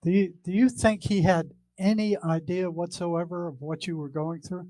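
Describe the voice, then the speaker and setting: A man's voice: high voice, fairly even intonation, normal speed, dark tone, dry recording, rough recording. A man asking questions conversationally as a co-interviewer.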